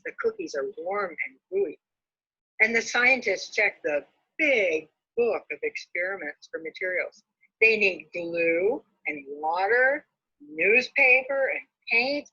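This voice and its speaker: A woman's voice: fairly high pitch, pushed and bright, husky. A woman librarian reading a children's storybook aloud expressively, on dull lo-fi audio.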